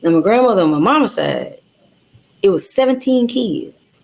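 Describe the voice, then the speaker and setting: A woman from Louisiana with a Creole accent, telling her life story as an interview guest in an animated, salty way full of slang and swearing. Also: a little high, moderate pace.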